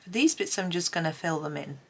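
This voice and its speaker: A low, gravelly British woman thinking aloud at a craft table, rambling hesitantly to whoever is colouring along at home. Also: animated, fairly quick, a little hiss or hum. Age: middle-aged. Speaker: colouring tutorial host. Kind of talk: casual rambling chat while colouring. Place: indoors at a desk.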